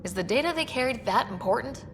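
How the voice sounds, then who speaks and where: A woman's voice: on the high side, expressive, fast talker, noisy background. An actress playing a helpful, direct young female space explorer in a video game. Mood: helpful, curious.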